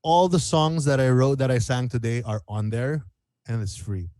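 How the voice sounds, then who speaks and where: A man's voice: medium pitch, steady tone, moderate pace, darkish tone. A man chatting casually as a singer being interviewed, informal and jokey about himself. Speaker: guest singer-songwriter.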